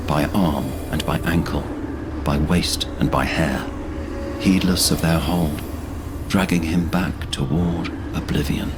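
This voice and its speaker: A man narrating a scripted fantasy drama in a measured, solemn, dramatic way. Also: sits low, background noise. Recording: voice under loud music.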